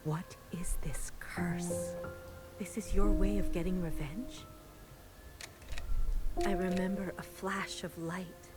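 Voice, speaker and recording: Bitter rebel clone sister, low voice, measured confessional delivery, dramatic video game monologue, loud music underneath. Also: female voice, steady tone. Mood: haunted, resentful. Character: bitter, secretly rebellious clone sister recalling her past.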